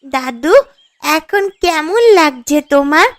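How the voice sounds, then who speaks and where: A woman's voice: high voice, slow pace. A woman voicing a young, devoted granddaughter in a cartoon story, anxious and pleading in manner. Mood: worried, pleading. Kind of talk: Bengali cartoon story dialogue.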